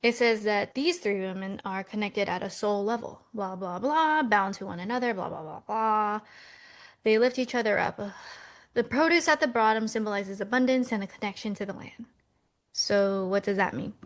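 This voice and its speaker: A woman's voice: medium pitch, normal speed, lightly acted. A woman playing a skeptical, practical millennial twin sister from New York in a romcom table read. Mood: dry, bored.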